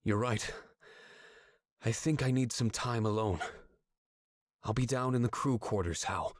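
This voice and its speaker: A man playing a troubled, earnest young man in a video game, with a husky, hesitant delivery. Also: mid-range voice, unhurried, dry recording, close to an everyday voice. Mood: confused, subdued. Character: troubled, earnest young man confronting his father's usurper.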